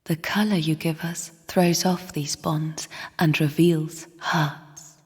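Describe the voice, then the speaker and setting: A woman voicing a somber, bitter sister spirit in a video game, speaking in a slow, solemn, cryptic half-whisper with a breathy tone. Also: low-pitched, fairly even intonation, darkish tone, full character voice. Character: somber, bitter sister spirit of the void, guarding her chambers. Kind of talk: video game character voice lines. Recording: long cavernous reverb.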